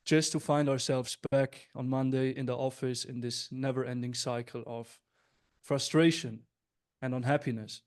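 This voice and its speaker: A man's voice: mid-range voice, fairly even intonation, normal speed, a little mellow. A man in his twenties from Switzerland hosting an interview in an upbeat, friendly and direct way, often speaking to the audience. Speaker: host, business coach.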